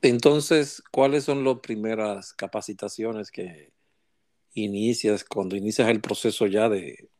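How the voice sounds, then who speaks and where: Slow-speaking friendly co-host. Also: medium pitch, steady tone, darkish tone, dry recording. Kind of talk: interview questions.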